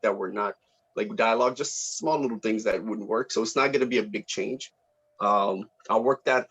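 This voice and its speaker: A man speaks casually and appreciatively, like a director organizing his cast. His phrasing is hesitant and informal, and his mid-pitched voice comes through muffled, lo-fi call audio. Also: slightly rough. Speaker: student film director running a table read meeting. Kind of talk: casual meeting chat. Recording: dull lo-fi online call audio.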